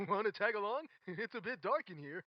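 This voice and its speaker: A performer voicing a playful child exploring a dark place, giggly and inviting. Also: mid-range voice, animated, fairly quick, heavy background noise, natural voice. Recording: dull lo-fi game audio.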